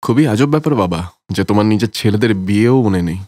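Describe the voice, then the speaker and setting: A man's voice: lowish voice, animated, fast talker, darkish tone, no room sound, studio quality. A man voicing a grown son in a cartoon story, curt and resentful of his absent father. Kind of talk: cartoon story character acting.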